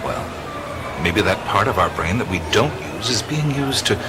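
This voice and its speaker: An actor playing a laid-back American adventurer in an audio drama, hesitant and understated with a wry manner. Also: male voice, on the low side, animated, moderate pace, a loud crowd, natural voice. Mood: calm, reflective. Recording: music under the voice.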